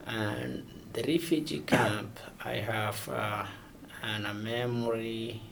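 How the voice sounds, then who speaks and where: A man from Somalia, answering interview questions haltingly and searching for words. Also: fairly low pitch, steady tone, creaky, fairly bright tone, slight background noise. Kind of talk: oral history interview.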